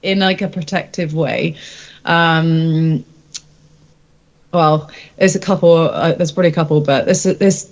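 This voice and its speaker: A woman's voice: sits low, steady tone, measured pace, lo-fi sound. A woman singer-songwriter chatting casually in an interview, candid and hesitant as she thinks aloud.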